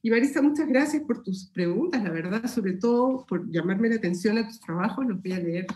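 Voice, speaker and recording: A woman's voice: on the high side, lively intonation, brisk, a little mellow, reverberant. A Peruvian woman speaking Spanish with a Peruvian accent, giving a thoughtful academic seminar answer with many fillers and restarts. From Peru. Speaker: sociology lecturer answering questions.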